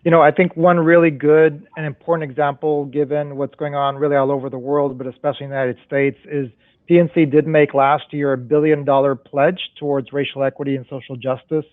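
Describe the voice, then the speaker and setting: A man's voice: on the high side, little pitch movement, fairly quick, no room sound. A man on a discussion panel, a banker explaining community development finance step by step, often restarting his sentences and adding "right?". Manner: explanatory, many restarts and tag questions. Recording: dry, phone-like remote audio.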